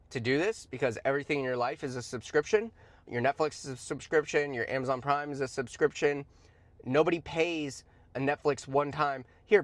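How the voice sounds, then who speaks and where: A man's voice: mid-range voice, fairly bright tone, no echo. A man giving informal, opinionated commentary in a blunt, emphatic, conversational way, with slangy asides and points repeated for stress. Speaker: host of a stock commentary show.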